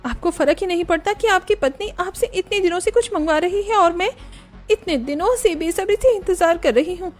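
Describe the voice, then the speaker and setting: A woman's voice: very high voice, a little fast, voice pushed up. A woman voicing a frustrated young wife in a family cartoon, whiny, indignant and emphatic, over music. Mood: angry, hurt. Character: frustrated, complaining young wife and daughter-in-law. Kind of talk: Hindi family cartoon voice acting.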